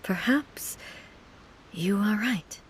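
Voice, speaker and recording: An actress playing a dignified, gentle female ruler deity in a video game, measured and reassuring in manner. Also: medium pitch, noisy background. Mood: composed, reassuring. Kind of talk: video game character dialogue.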